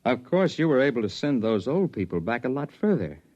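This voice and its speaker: Wry everyman time traveler, American, middling pitch, plainspoken, dull vintage radio sound. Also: sing-song, fairly quick, darkish tone. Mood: hungry, wry. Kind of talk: 1950s radio drama acting, narration and dialogue.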